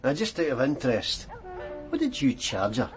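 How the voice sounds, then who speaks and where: An actor playing a steady, terse detective sergeant in a radio crime drama, asking short probing questions. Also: male voice, mid-range voice, normal speed, faint music behind. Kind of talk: naturalistic radio drama acting.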